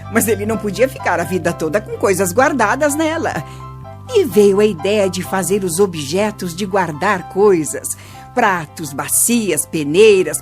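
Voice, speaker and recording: An actress plays a wise old grandmother with a very animated, swooping delivery. She patiently teaches her grandchildren in a children's radio drama, with music behind her. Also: medium pitch, natural voice. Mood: enthusiastic, instructive. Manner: didactic, expressive storytelling. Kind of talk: children's radio play acting.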